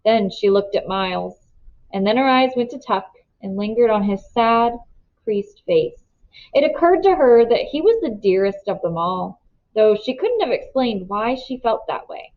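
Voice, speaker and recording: A woman reading a story aloud as narrator, with expressive storybook delivery. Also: medium pitch, moderate pace. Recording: slightly dull, lo-fi sound.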